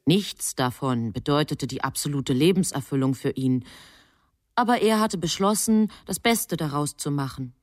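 An actress playing a composed, knowing storyteller, narrating a German radio drama in measured phrases. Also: female voice, sits low, lively intonation, dry recording, studio quality. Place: studio.

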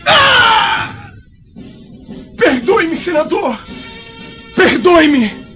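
A Brazilian man acting a desperate, dying figure in a radio drama, with shrill, strained cries. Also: huge pitch swings, extremely slow, pushed and bright, exaggerated voice. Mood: dying remorse. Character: desperate, dying men begging for mercy. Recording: dull lo-fi audio, music underneath.

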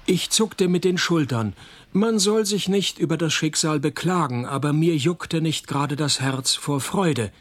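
An actor playing a wry, world-weary private detective in a radio crime comedy, deadpan and sardonic. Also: male voice, fairly high pitch, a little slow. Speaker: detective and narrator. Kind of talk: radio crime comedy, first-person noir narration.

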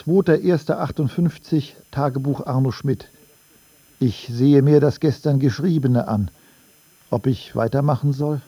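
A man's voice: medium pitch, a little slow, warm tone, breathy edge, no echo, lo-fi sound. An actor playing a self-doubting writer reading his diary in a radio play, matter-of-fact and reflective.

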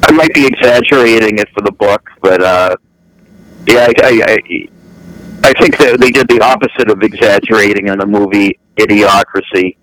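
Halting low-voiced man calling in. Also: a bit bright, rough recording. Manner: halting, many restarts, self-deprecating. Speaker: guest, novelist. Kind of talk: casual remote chat. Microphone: calling in remotely, hard to hear others. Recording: radio talk show.

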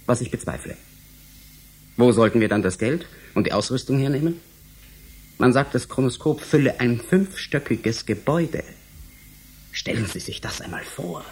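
A man in a German radio drama playing a cautious, sceptical young scientist, measured and questioning, with a husky voice. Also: mid-range voice, expressive, a little mellow, a little hiss or hum, close to an everyday voice. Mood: doubting, deliberate. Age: young adult.

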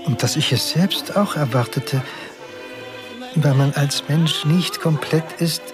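Wistful husband over prominent music. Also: male voice, a little high, slow. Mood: reflective. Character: Japanese husband looking back on his marriage, wistful and earnest. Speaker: actor and narrator. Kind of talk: literary radio drama monologue. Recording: studio radio drama under music.

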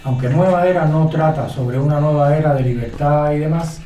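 A man with a Cuban accent, chatting easily as a guest in a radio interview and telling stories in a relaxed way. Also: a little high, brisk. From Cuba. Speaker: guest, radio colleague and author. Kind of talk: casual radio interview chat. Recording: echoey studio, distant mic, faint music.

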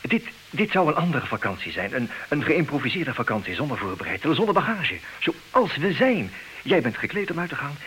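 A man's voice: on the high side, very animated, fairly quick, fairly bright tone, slight background noise, rough recording. A man playing a hesitant, resigned betrayed husband who stammers and repeats words, in in an old radio play. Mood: restless, eager. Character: hesitant, resigned husband who knows of his wife's infidelity. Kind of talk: old Dutch radio drama acting.

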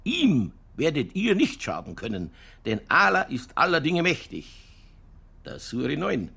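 An earthy Bavarian police detective in a radio crime drama. His voice is creaky and husky, and he holds forth with stubborn, provocative opinions in broad colloquial speech. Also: on the high side, huge pitch swings, unhurried, bright, forceful tone, exaggerated voice. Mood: declaiming, insistent.

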